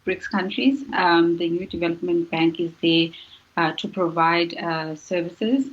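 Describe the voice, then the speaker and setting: Woman from South Africa, husky voice, hesitant panel answers, dull lo-fi audio. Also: on the low side, fairly even intonation, a little slow. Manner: hesitant, many fillers. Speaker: panel guest.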